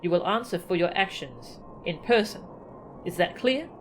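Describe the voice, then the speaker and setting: A woman's voice: fairly low pitch, big pitch swings, normal speed. A woman voicing a stern young nobleman in a video game, curt and commanding. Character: stern young nobleman giving firm orders. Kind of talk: reading game dialogue aloud. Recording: game music behind the voice.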